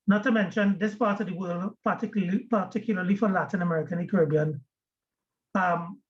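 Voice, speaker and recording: A man's voice: high voice, steady tone, quick pace. A man from Trinidad and Tobago with a Trinidadian accent, giving a webinar presentation as guest speaker, often repeating words as he starts a phrase. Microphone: video call. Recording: dull lo-fi video call audio.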